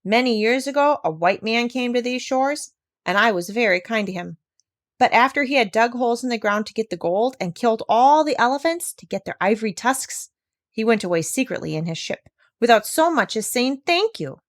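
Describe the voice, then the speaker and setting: A woman's voice: on the high side, lively intonation, moderate pace, voice pushed up, close to an everyday voice. A woman voicing a haughty, easily frightened African king in a storybook read-aloud, with a commanding, indignant manner. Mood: stern.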